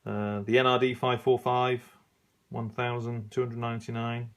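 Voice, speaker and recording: A man's voice: medium pitch, completely flat delivery, normal speed. A man giving a casual, unscripted review as a hobbyist, hesitantly reading out listings and commenting as he goes. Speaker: radio hobbyist reviewing an old magazine. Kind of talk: casual unscripted review, leafing through pages.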